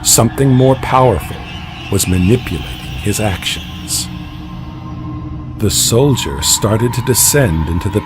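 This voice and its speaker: Guy reading a suspense story real slow, kinda low voice, dramatic pauses, music behind him. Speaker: narrator. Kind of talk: dramatic scripted story narration.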